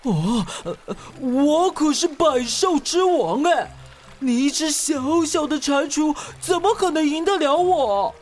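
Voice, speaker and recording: A woman's voice: sits high, lively intonation, slow. A woman voicing a proud, scornful tiger, king of the beasts, blustering through a children's story. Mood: indignant. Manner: blustering, haughty.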